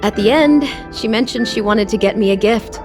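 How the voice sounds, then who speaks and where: A woman's voice: on the high side, normal speed, darkish tone, close to an everyday voice. A woman voicing a gracious, hearty restaurant chef in a video game, effusive and fond of telling stories. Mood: fond, admiring. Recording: studio voice with clear music behind. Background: game music.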